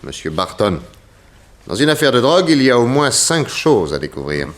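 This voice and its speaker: A man's voice: mid-range voice, very animated, close to an everyday voice. A man playing a shrewd, composed and courteous detective in a 1960s French radio drama. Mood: authoritative. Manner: measured, polite, authoritative. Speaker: actor in a radio crime drama.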